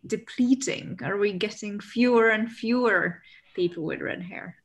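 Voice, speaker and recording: A woman whose first language is Czech, co-hosting over a video call and reading out audience questions in a measured way. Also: mid-range voice.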